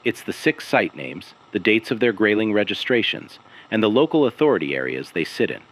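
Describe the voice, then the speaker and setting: A man with a deep voice narrates a serialised investigative mystery. His delivery is grave and carefully phrased, with deliberate pauses and lively movement in his intonation. It sounds like a scripted podcast host laying out a case. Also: low-quality recording. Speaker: host of an investigative audio series.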